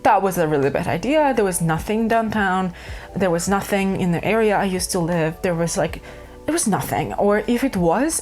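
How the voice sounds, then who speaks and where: A chatty woman from Ukraine talks casually to camera about her crafts. Her pitch leaps up and down as she rambles through fillers and restarts. Faint music plays under her voice. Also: darkish tone. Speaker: craft vlogger.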